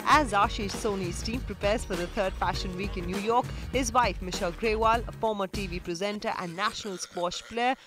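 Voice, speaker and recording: A woman's voice: medium pitch, normal speed, hardly any pauses. Woman narrating over music. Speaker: documentary narrator. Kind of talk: scripted narration. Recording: voice-over with clear music underneath.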